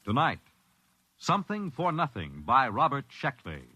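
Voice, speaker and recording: A man announcing a show in a dramatic, measured, portentous 1950s radio style. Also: medium pitch, huge pitch swings, very noisy. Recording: old radio recording, music behind.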